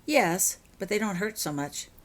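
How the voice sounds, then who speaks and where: A woman plays a young schoolgirl witch from a storybook. She sounds earnest and a little unsure of herself, answering haltingly, with her voice lifted a bit. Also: mid-range voice, lively intonation. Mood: hesitant, earnest.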